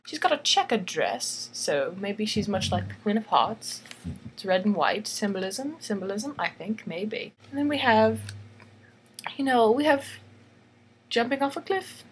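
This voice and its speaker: A woman's voice: medium pitch, big pitch swings, measured pace, slight background noise, poor audio. A woman reading a bedtime story in a theatrical, tongue-in-cheek way, giggly and chatty, repeating phrases for emphasis. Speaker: storyteller and illustrator.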